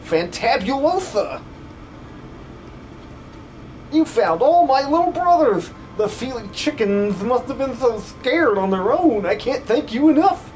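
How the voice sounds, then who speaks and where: A man voicing an excitable, grateful little treasure-loving creature in a theatrical video game fan dub. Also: very high-pitched, measured pace, few pauses, slight background noise, pitched above the performer's own voice, exaggerated voice, rough recording. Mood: overjoyed, grateful. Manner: gushing, theatrical.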